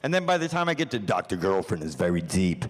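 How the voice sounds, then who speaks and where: Man, high lively voice, casual panel talk, hall reverb. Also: fast, over music. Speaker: show creator and voice actor on a panel. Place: large panel room.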